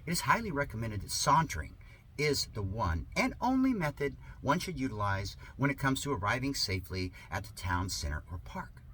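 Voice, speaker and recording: A man with a medium-pitched voice that swings widely up and down. He speaks as an online host, halting yet emphatic and theatrical. There is some noise behind him. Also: pushed and bright. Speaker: online host introducing and reading a satirical article. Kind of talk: rambling talk and dramatic sarcastic reading. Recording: noticeable background noise.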